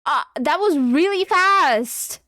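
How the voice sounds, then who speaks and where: A woman's voice: very high voice, animated, slow pace, pushed and bright, dry recording. A young woman live streaming a game, chatting casually and hesitantly, rambling as if talking to herself. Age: young adult.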